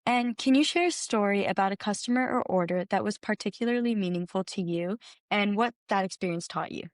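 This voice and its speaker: A woman's voice: mid-range voice, fairly quick, dry recording, clean studio sound. A woman conducting an interview, asking prepared questions in a conversational exchange.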